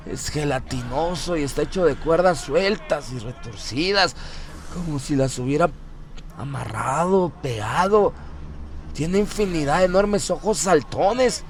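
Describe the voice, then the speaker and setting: A man playing a terrified rural man in a horror radio drama, anguished and halting as he raves. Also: on the high side. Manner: anguished, halting, repeating words. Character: terrified rural man raving at a monstrous sight.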